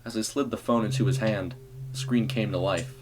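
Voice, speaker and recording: A man reading a scripted eerie story as narrator, in a measured, dramatic storytelling manner. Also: fairly low pitch, heavy background noise.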